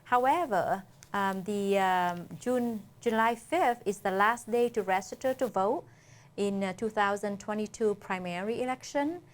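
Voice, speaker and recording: A woman hosts a community interview and gives announcements, speaking haltingly with repeated words. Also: medium pitch. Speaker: interviewer and host.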